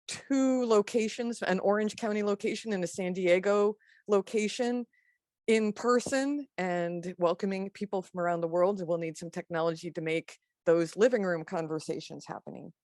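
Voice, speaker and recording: Lady host doing meeting announcements, lowish voice, kinda halting, dry close sound. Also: no echo. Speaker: meetup host. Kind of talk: housekeeping announcements to an online meeting. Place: indoors, on a video call.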